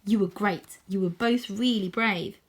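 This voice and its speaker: A woman's voice: mid-range voice, unhurried. A woman voicing a brave, kind young male cat in a storybook, earnest and reassuring. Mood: encouraging.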